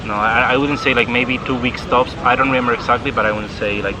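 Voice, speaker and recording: A man's voice: medium pitch, steady tone, fast. A young man from Spain, an exchange student chatting casually and filling his talk with "like". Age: young adult. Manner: chatty, many "like" fillers. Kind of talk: casual chat. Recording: outdoor traffic and boat noise.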